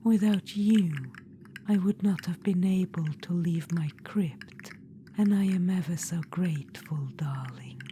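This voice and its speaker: A woman's voice: lowish voice, a little slow, slight background noise, lightly acted. A woman voicing a scheming, ancient undead witch-queen spirit in a whispered ASMR audio roleplay, silky and condescending, calling the listener darling. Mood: silky, coaxing. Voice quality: soft unvoiced whisper.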